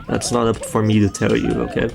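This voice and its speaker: Man, Brazilian Portuguese accent, native Portuguese speaker, young adult, laid-back tutorial voice-over, fillers, casual cursing, slightly low voice. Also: fairly quick, creaky, crowd noise. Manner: relaxed, loose, swears casually, frequent fillers. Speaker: speedrun tutorial host.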